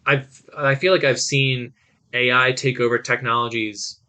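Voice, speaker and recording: A man's voice: mid-range voice, steady tone, normal speed, pushed and bright, clean tone. A man hosting a podcast, talking casually and conversationally as he gives his opinions. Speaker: podcast host. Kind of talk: casual film discussion.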